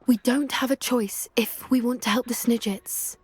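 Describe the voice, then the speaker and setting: A woman's voice: fairly high pitch, steady tone, moderate pace, husky. A woman voicing an earnest, anxious teenage witch in a video game, polite and a little hesitant. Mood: uneasy hope. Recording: music behind the voice. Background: music.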